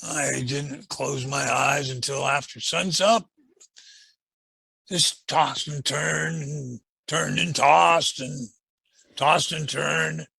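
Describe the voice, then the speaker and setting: A man's voice: fairly high pitch, fairly even intonation, slow, creaky, pushed and bright, husky, dry recording, full character voice. A man playing a meek, folksy assistant to an Old West marshal in a radio western, with a hesitant, stammering, deferential delivery. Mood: wounded, humble. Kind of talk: old-time western radio drama acting.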